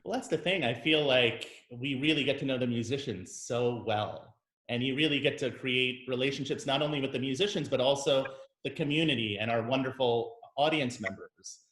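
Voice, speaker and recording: Male conductor as host, mid-pitched, measured and friendly, recorded in a roomy, echoey space. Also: a bit bright. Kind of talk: casual interview conversation. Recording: roomy echo.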